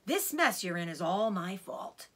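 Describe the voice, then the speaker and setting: A woman's voice: medium pitch, sing-song, unhurried, slightly rough. A woman voicing a clever, confident and genial father fox in a children's storybook. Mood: genial, assured.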